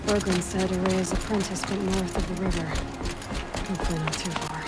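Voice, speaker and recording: A woman's voice: lowish voice, fairly even intonation, a little fast, very noisy, natural voice. An actress playing a young female hunter in a video game, with a dry, wry and direct way of talking. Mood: determined. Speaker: game protagonist. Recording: game cutscene with loud music.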